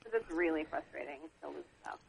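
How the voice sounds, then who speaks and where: A woman's voice: sits low, huge pitch swings, a little fast, vocal fry, a crowd in the background. A woman on a group call, chatting in a rueful, casual way. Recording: muffled lo-fi phone line with faint hiss.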